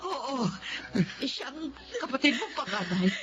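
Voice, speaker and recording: A woman's voice: high-pitched, very animated, measured pace. An actress with a Filipino accent playing a loving, long-suffering mother in an emotional radio drama. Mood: tearful. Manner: emotional, melodramatic delivery. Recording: dull lo-fi audio, loud music behind.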